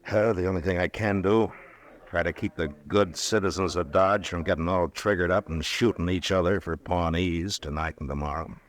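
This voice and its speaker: An actor playing a steady, dryly skeptical American frontier marshal in a radio western, speaking in an understated, unhurried way. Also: male voice, low-pitched, natural voice. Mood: resolute, calm. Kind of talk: old-time radio western acting.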